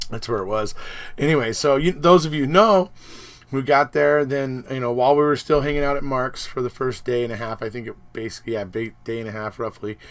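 A slightly hoarse man in his forties, a channel host, rambling casually through a trip recap with many fillers, filmed on a webcam in his home office. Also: mid-range voice, a bit bright. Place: home office room with posters.

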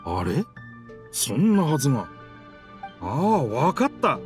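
A man voicing an easygoing talking boat in a Japanese anime game, with casual, rough masculine phrasing and a sheepish manner. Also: mid-range voice, wildly swooping pitch, slow. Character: talking sentient boat, easygoing, excuse-making male. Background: game music.

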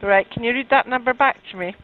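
A woman working as a helpline operator, questioning in a brisk, blunt, businesslike way. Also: a little high. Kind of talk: phone intake questioning. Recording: tinny, narrow telephone line.

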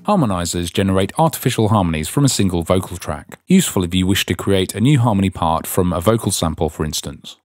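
A man narrates a tutorial in a scripted, instructional way. His voice sits fairly low, and some music plays behind him. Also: expressive, fast, hardly any pauses, darkish tone.

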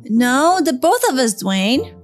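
A woman voicing a sleepy, dutiful schoolgirl big sister in an animated roleplay story, hesitant and mildly exasperated. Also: sits high, slow pace, full character voice.

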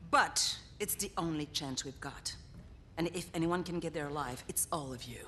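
A woman playing a serious resistance commander in a video game, speaking firmly and deliberately with authority. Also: deep voice, bright, forceful tone. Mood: resolute. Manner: deliberate, firm. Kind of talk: dramatic video game cutscene acting.

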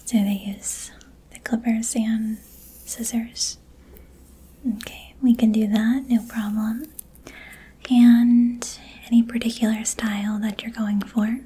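A young woman whispering softly and gently asking questions as a barber in an ASMR haircut roleplay, recorded close to the mic in a small room. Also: fairly high pitch, little pitch movement, slow, pauses often, slightly rough. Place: small decorated indoor room. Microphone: close studio mic in front.

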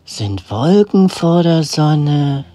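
Casting: actress as easygoing joker god for fantasy radio play, lowish mellow voice, lazily stretched words. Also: female voice, big pitch swings, exaggerated voice. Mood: lazily curious. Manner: very drawn-out, casual. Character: laid-back, slangy, flippant deity.

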